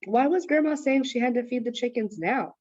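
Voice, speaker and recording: A woman's voice: a little high, expressive, brisk, darkish tone, no room sound, dull audio. A woman reading a children's story aloud as narrator.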